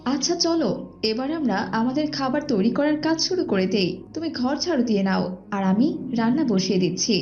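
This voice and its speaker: Casting: woman as devout cartoon mother, high female voice, lecturing and firm, slightly muffled recording. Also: lively intonation, a little fast, a little mellow, close to an everyday voice. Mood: gentle, advising. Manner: instructive, scolding. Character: stern, pious mother of two, lecturing. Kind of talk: dubbed Islamic moral cartoon dialogue. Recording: dull, lo-fi sound.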